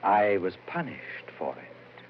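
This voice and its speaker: An actor playing an eerie, sorrowful dead madman poet with a slow, portentous, haunting delivery in old-time radio horror style. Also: lowish voice, very animated, heavily acted. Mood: solemn, sorrowful. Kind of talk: 1940s radio horror acting. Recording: muffled, hissy old radio recording.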